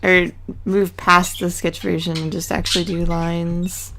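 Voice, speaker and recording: A woman with a Southern US accent chatting casually with her stream chat while drawing, relaxed and laughing often. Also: on the low side, a little slow, slight background noise, low-quality recording. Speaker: artist live streaming, reading chat.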